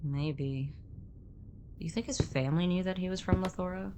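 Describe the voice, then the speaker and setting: A woman's voice: on the low side, normal speed, warm tone, a little hiss or hum. A woman co-narrating an atmospheric scripted fiction podcast.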